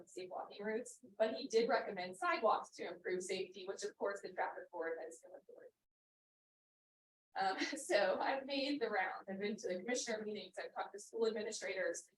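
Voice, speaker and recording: A woman in her thirties giving an earnest public comment at a board meeting, reading from notes with many ums. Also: medium pitch. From Pennsylvania, US. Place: meeting room. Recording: echoey room, distant mic, faint hiss.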